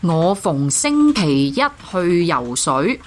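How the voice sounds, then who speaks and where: A woman's voice: lowish voice, very animated, slow pace, a little hiss or hum. A woman reading scripted example sentences as the voice of a language-learning app.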